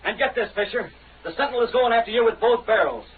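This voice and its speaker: An actor playing a brash, hot-headed newspaper reporter in an old radio drama style. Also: male voice, very high voice, animated, fast. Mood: indignant, threatening. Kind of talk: 1930s radio acting. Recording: scratchy, narrow old radio recording.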